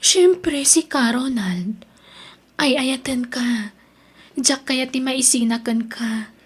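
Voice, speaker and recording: A lovestruck Ilocano teenager in an audio drama, stubborn and devoted. Her voice is very high and she draws her words out slowly. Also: slightly rough, heavily acted.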